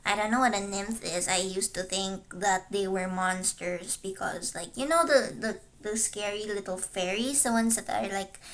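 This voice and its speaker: A woman's voice: medium pitch, moderate pace, hardly any pauses, a bit bright. A teenage girl whose first language is Chinese, chatting casually on a livestream while she studies, rambling and hesitant, with many fillers and self-corrections. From Philippines. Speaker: vtuber streamer.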